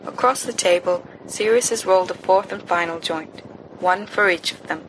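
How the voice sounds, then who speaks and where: A woman reading fan fiction aloud as an amateur narrator, in an expressive storytelling style. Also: on the low side, moderate pace. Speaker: volunteer audiobook reader. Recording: noisy amateur home recording.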